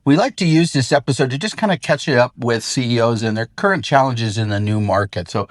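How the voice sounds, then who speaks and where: A man's voice: mid-range voice, a little fast. A man hosting a business interview, friendly and hesitant, with many fillers. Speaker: interview host. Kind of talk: casual interview talk.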